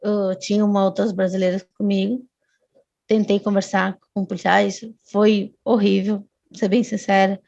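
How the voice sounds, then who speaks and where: A woman's voice: mid-range voice, level tone, normal speed, creaky, a little mellow, no echo, dull audio. A woman, a guest telling a long personal story in casual chat, often restarting and adding tag questions. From Brazil.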